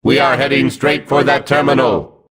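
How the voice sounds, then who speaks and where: A man voicing a hulking combat robot in a video game, gruff and mechanical, giving clipped, vengeful commands. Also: medium pitch, steady tone, moderate pace, a bit bright. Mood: cold, commanding.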